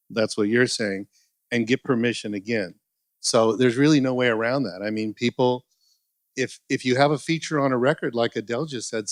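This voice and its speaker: A man's voice: mid-range voice, no room sound. A man on a conference panel explains things in a methodical way. His voice is recorded dry, with little room sound. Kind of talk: panel discussion.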